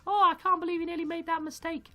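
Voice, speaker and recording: A man, slightly hoarse, rambling through casual gaming commentary and correcting himself as he goes. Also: very high voice, level tone, quick pace, pushed and bright. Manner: rambling, self-correcting chatter. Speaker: gaming YouTuber.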